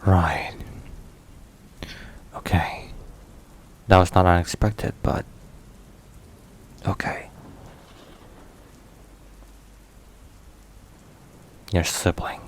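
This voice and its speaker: A man playing a stern, protective, weary mafia boss in an intimate ASMR roleplay, speaking in a grave, measured, halting way. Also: low voice. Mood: subdued, hesitant. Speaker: voice actor. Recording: steady rain and thunder noise. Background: rain and thunder.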